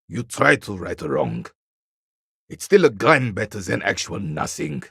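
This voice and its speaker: A proud old war veteran from a video game, with a heavily creaking, husky voice that swings widely in pitch. He speaks like a formal old soldier, haughty and embittered, grumbling at those beneath him. The sound is dry and close. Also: bright, forceful tone, dry recording, heavily acted. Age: older. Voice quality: gravelly, raspy, husky. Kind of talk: dramatic video game character acting.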